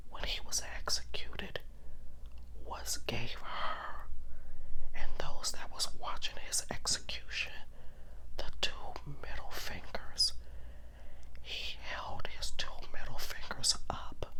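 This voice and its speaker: Slow, whispering ASMR woman storyteller. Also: rumbling low. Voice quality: breathy whisper. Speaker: storytelling channel host.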